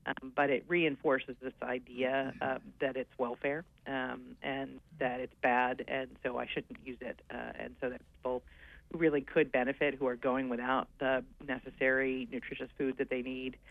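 A man speaking in an ordinary middle register, working through his points with many pauses, fillers and restarts. His voice comes through a dry, slightly muffled radio recording. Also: medium pitch, little pitch movement, creaky, no room sound. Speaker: guest coordinating aging services. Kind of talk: radio interview, hesitant conversational. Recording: dull lo-fi radio sound.

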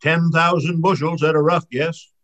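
A man's voice: a little high, fairly even intonation, normal speed, fairly bright tone, natural voice. A man voicing a surly, cross old sea serpent.

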